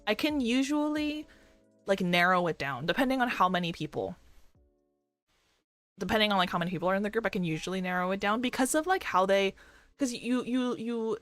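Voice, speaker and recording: A young woman who streams and works as a doctor, chatting casually with a fairly deep voice and a rambling, filler-heavy way of talking. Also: animated, fairly quick, a bit bright, no room sound, soft background music. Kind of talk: casual chat with live chat readers. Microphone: desk microphone.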